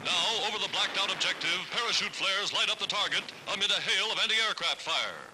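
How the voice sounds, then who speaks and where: A man's voice: sits high, expressive, a little fast. A man reads a scripted 1940s wartime newsreel narration in a formal, declamatory, dramatic manner. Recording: noisy vintage 1940s newsreel soundtrack.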